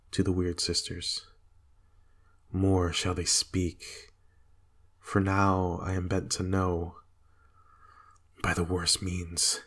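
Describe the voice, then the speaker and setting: A man playing a guilt-haunted, fearful and raging king in a Shakespearean dramatic reading, with a husky voice. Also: deep voice, exaggerated voice. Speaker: amateur reader in a dramatic reading.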